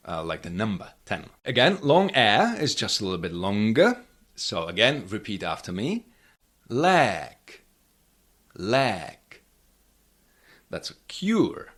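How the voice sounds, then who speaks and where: A man's voice: low-pitched, a bit bright. A middle-aged man with a Czech accent teaching pronunciation, modelling each word twice for learners to repeat. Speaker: language teacher. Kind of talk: pronunciation lesson with repeat-after-me drills.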